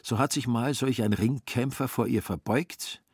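A man with a husky voice narrating a literary radio play in a wry, dry manner. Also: medium pitch, moderate pace, no echo.

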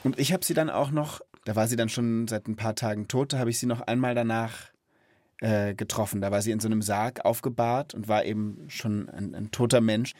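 A man's voice: lowish voice, fairly even intonation, a little fast, darkish tone, no room sound, clean studio sound. A man hosting a children's podcast in a casual, explanatory way, speaking thoughtfully and with empathy.

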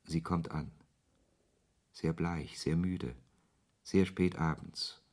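A man's voice: steady tone, slow, darkish tone. An actor playing a brooding, ironic author who narrates his own story in a radio play, with a literary, sardonic manner. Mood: reflective narration. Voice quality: low, husky voice. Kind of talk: first-person radio play narration and dialogue.

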